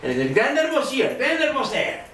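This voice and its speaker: An older man giving an earnest testimony sermon with a loud voice, swinging between hushed and forceful delivery. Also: extremely high pitch, wildly swooping pitch, a little fast, slight background noise. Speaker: lay preacher giving testimony. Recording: roomy and distant with faint ambience.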